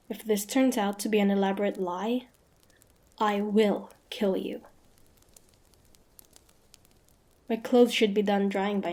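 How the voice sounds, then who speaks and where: A woman playing a wary, sharp-tongued young demon hunter with a prickly but grudgingly softening manner, in a fantasy roleplay audio drama. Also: mid-range voice, lightly acted. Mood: firm warning. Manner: guarded, sharp-tongued, tsundere.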